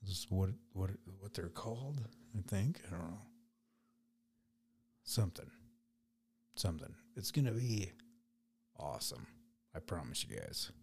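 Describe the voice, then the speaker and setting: A man hosting a podcast solo, with a raspy voice, chatting loosely and rambling in an unedited way. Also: low-pitched, big pitch swings, measured pace, long pauses, a little mellow, slight background noise. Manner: rambling, loose, laid-back. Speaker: podcast host. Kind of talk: casual solo podcast chat.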